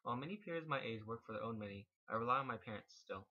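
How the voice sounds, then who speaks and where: A man's voice: medium pitch, animated, quick pace, muffled recording. A teenage male student reading scripted narration for a home-made personal video. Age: teens. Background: background music.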